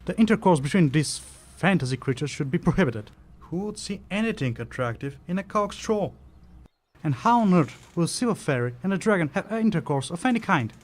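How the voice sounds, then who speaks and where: A man's voice: a little high, expressive, brisk. A man playing a nitpicking sidekick who helps narrate a mock fantasy tale in a scripted audio play. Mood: incredulous.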